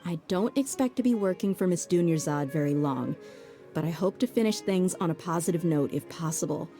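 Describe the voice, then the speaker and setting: A tough hired bodyguard, blunt and casual with a hidden soft side. Her voice is a bit low and warm rather than bright. A faint game score sits underneath. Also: animated, a little fast, soft background music. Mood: brisk. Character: tough adult female mercenary bodyguard, blunt but soft-hearted. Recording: game score softly behind.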